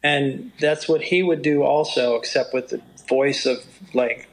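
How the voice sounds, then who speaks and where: A middle-aged man with a low voice, talking through stories in an interview and sometimes quoting others in a livelier tone. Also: steady tone, a little hiss or hum, poor audio. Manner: unhurried, storytelling, quotes others in a livelier voice. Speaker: motocross broadcaster. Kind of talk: reflective interview talk.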